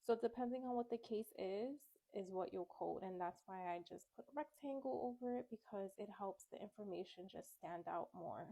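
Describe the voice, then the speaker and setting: A woman's voice: medium pitch, normal speed, gravelly, hardly any pauses, warm tone, no room sound, low-quality recording. A young woman, a little congested from a cold, casually walking through medical coding guidelines step by step. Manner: chatty, explains step by step. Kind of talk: casual study walkthrough. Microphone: phone camera.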